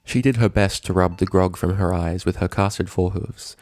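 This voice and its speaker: A man reading a scripted story as narrator, in a measured, dramatic storytelling manner. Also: low voice, fairly even intonation, a little mellow, no room sound, clean studio sound.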